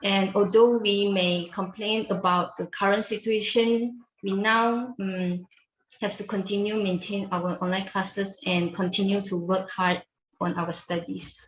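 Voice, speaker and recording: A woman's voice: mid-range voice, steady tone, lo-fi sound, slight background noise. Young woman in an echoey room. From Malaysia. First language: Chinese. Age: young adult. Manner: careful, with many hums and pauses. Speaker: interviewee, university student. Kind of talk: answering interview questions.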